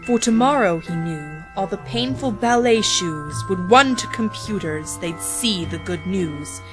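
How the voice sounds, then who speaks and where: Unhurried woman narrating a storybook parody. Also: medium pitch, lively intonation, over music. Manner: dramatic, playful, drawn-out emphasis on repeated words.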